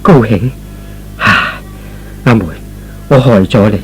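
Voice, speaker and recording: A man playing a gentle, remorseful young man in a radio drama, earnest and pleading. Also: lowish voice, slow, soft background music, rough recording. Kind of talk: Cantonese radio drama acting.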